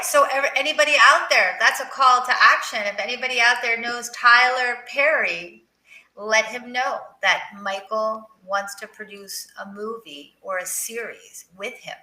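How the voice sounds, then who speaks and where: Lady interview host, really bright forceful voice, kinda high, super encouraging, echoey room. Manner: effusive, encouraging. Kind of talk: live interview, reading viewer comments. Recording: roomy, echoing sound.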